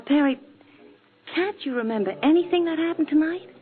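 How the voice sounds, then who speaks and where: An American actress playing a bright, reassuring sweetheart of a newspaperman in a 1940s radio drama. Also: high-pitched, animated, brisk, soft background music. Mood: teasing, eager. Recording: tinny old radio broadcast.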